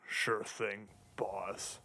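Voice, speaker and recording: A man playing a sarcastic, morose undead sidekick with dry, deadpan muttering in a comedic audio drama. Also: on the low side, very slow, noisy background. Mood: sardonic, muttering.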